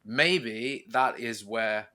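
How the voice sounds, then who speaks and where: A man chatting casually as a podcast host about films, animated and enthusiastic in a rambling, fan-like way. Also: mid-range voice, very slow, a little hiss or hum. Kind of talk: casual film fan discussion.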